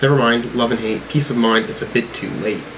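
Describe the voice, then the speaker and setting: A man giving casual music reaction commentary, halting as he thinks aloud. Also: medium pitch, steady tone, background noise. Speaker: music reviewer reacting to songs.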